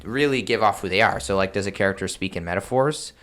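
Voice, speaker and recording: A man with a fairly low voice hosts a podcast. He explains in a casual, conversational style, leaning on filler words and breaking off to rephrase himself. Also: quick pace, a bit bright. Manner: informal, frequent filler words and self-interruption. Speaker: podcast host giving game master advice.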